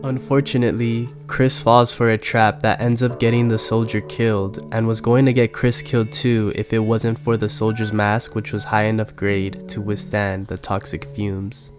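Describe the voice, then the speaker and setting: Low-voiced man narrating over music. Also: little pitch movement, moderate pace, hardly any pauses. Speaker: video game lore narrator. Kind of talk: scripted recap narration. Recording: music bed under the narration.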